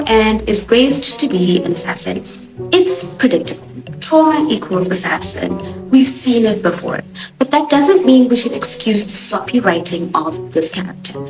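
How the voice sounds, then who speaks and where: A young woman, a native Swahili speaker with a Johannesburg accent, giving a sassy, expressive TV show review as host. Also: medium pitch. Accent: South African (Johannesburg). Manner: expressive, sassy, animated emphasis. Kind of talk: casual opinionated review. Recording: echoey room with music behind.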